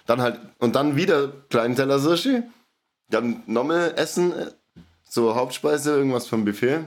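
A man's voice: medium pitch, animated, moderate pace. A man co-hosting a podcast, chatting casually in an enthusiastic, slangy, rambling way. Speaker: podcast co-host. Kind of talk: casual chat.

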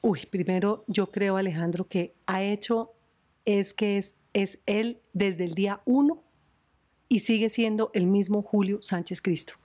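A middle-aged woman with a Colombian accent, a veteran news presenter. She talks in an animated, storytelling way and laughs often, chatting easily with her interviewer. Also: medium pitch, measured pace. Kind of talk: podcast interview.

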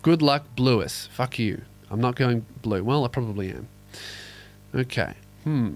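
A man's voice: mid-range voice, unhurried. A young man hosting a casual comedy podcast, crude and sardonic, reading listener emails aloud. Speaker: podcast host.

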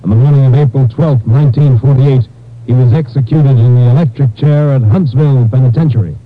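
Man as a solemn, mid-pitched radio case narrator. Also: lightly acted. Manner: solemn, deliberate. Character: sober male announcer reporting a case's outcome. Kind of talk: formal 1950s radio narration. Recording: muffled old radio recording, faint music.

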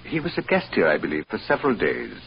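An actor playing a haughty, needling hotel boss in an old-time radio drama, speaking formally with dry sarcasm. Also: male voice, low-pitched, huge pitch swings, creaky, full character voice. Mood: smugly genial. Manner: formal, pompous, deliberate, drily sarcastic. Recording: dull, noisy old radio recording.

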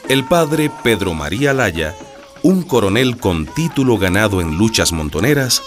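Radio serial narrator, man, low voice with animated intonation over music. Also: a little slow. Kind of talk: scripted radio drama narration.

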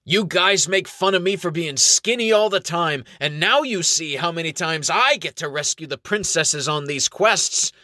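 A man's voice: moderate pace, hardly any pauses, bright, forceful tone, no echo. A man doing a skinny boastful elf wizard. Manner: high, eager, theatrical.

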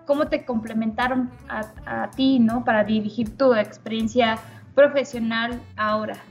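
A Mexican woman co-hosts the podcast and asks questions in a friendly, measured way. Her voice sits a little high, and faint music plays underneath. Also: fairly even intonation. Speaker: podcast co-host. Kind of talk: interview questions.

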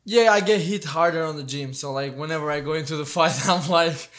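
A young man from Albania, a fighter guest in a casual interview, talking in a relaxed, hesitant way with many fillers and restarts. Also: on the high side, brisk.

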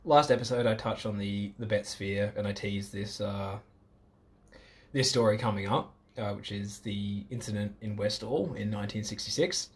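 A man's voice: on the low side, fairly even intonation, moderate pace. An Australian man hosting a casual podcast chat, jokey and self-mocking. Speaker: podcast host.

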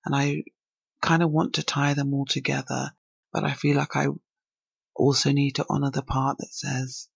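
A woman's voice: extremely low pitch, little pitch movement, normal speed, gravelly, breathy edge, no echo, dull audio. A woman from England hosting her own podcast, talking alone in a reflective, thinking-aloud way. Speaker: podcast host. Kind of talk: solo podcast monologue.

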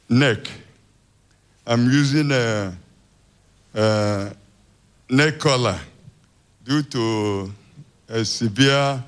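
A man from Imo State with a Nigerian accent, a pastor, states his case at a church service in a halting way, word by word. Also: mid-range voice, clear, resonant tone. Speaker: pastor giving testimony as a congregant.

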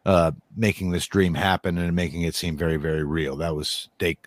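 A man in casual conversation, rambling with many restarts and a steady stream of "like". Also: low voice, moderate pace, dry recording, clean studio sound. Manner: rambling, restarts often, says "like" a lot.

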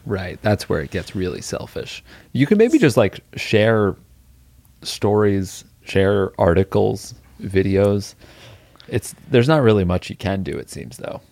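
A man co-hosting a podcast in a casual, comedic chat, trading wry, deadpan comebacks. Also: lowish voice, unhurried, gravelly, dry recording. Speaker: podcast co-host.

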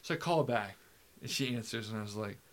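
A man's voice: fairly low pitch, brisk. Tipsy, tired man telling stories. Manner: animated storyteller acting out quotes, swearing. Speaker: guest. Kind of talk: casual tipsy chat.

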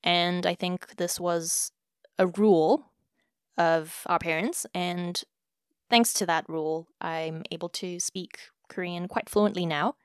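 Smooth-voiced young woman, measured and reflective. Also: lowish voice, steady tone, no room sound, clean studio sound. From South Africa. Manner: measured, reflective, with soft fillers. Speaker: podcast host answering interview questions. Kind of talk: casual conversation between sisters.

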